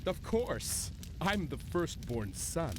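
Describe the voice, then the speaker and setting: An actor playing an arrogant, scornful firstborn prince in a video game, haughty and sarcastic. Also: male voice, a little high, very animated, slow, noisy background. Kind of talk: video game cutscene acting.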